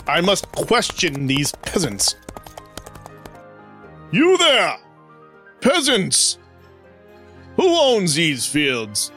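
A woman's voice: sits low, wildly swooping pitch, slow pace, few pauses, fairly bright tone, faint music behind, pitched below the performer's own voice, heavily acted. A woman voicing a pompous, imperious storybook king who declaims grandly. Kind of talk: children's storybook character voice.

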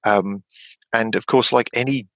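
A man in his fifties chatting casually about tabletop games, rambling with lots of fillers and restarts and sudden animated jumps in pitch. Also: a little slow, no room sound, poor audio. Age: 50s. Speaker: podcast guest.

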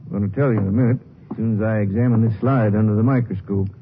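An actor playing a brisk, precise small-town medical examiner in a 1950s radio drama, speaking in a professional manner. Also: male voice, lowish voice, fairly even intonation, natural voice. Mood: businesslike. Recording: muffled old radio recording, faint noise.

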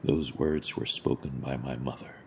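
An actor playing a stern but compassionate masked vigilante hero in an audio drama, speaking in a measured, formal, solemn way. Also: male voice, a bass voice, steady tone, creaky, a little hiss or hum. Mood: gently reassuring.

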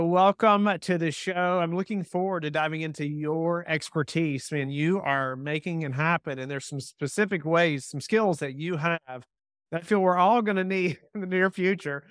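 A man's voice: fairly high pitch, moderate pace, a bit bright, clean tone, dry recording, studio quality. A man hosting a podcast, upbeat and piling on questions, often tagging on "right?". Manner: upbeat, stacks questions, says "right?" a lot. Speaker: podcast host. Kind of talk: podcast interview.

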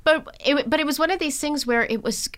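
A woman's voice: sits high, fairly quick, fairly bright tone. A woman hosting a podcast in casual conversation, chipping in with playful, teasing remarks. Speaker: podcast host, interviewer. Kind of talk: casual chat.

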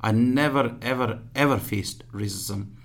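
A man, being interviewed and speaking haltingly with many restarts; English is his third language. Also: medium pitch, gravelly. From Pakistan. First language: Punjabi or other regional language; Urdu second, English third. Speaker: guest. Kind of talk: casual interview.

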